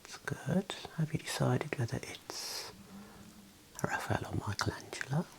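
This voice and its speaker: A man in his thirties whispering softly and breathily in a calm, gentle ASMR roleplay as an examiner giving instructions, close to the mic in a small room. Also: mid-range voice, a little slow, gravelly. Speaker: ASMR host playing an eye examiner.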